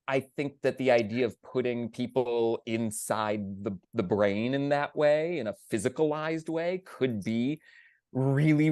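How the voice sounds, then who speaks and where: A man with an average-pitched voice, talking earnestly and emphatically in an informal panel discussion. The sound is dry and close. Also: darkish tone, no echo. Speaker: theatre panelist.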